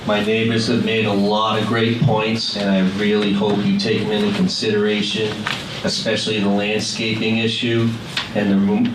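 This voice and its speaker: A man giving a friendly, plain-spoken public comment at a town meeting. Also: fairly low pitch. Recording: echoey hall with noise, distant mic.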